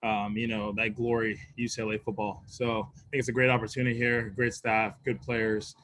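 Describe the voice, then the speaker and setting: A man's voice: on the low side, flat delivery, normal speed, a bit bright. A young man from Sweden, a college football player, answering press questions in an easygoing way with frequent laughs and fillers. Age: young adult.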